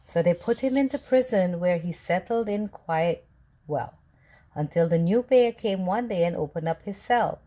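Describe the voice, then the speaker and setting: A woman reading a children's storybook aloud with deliberate, expressive phrasing. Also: fairly low pitch, low-quality recording. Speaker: storybook reader.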